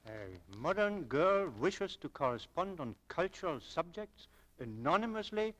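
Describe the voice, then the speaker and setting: A wry, nosy shop clerk in an old radio drama. His voice sits fairly high and rises and falls in a very lively, teasing way. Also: unhurried. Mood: wry, curious. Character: curious, wry male shop clerk and confidant. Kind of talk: 1940s radio acting.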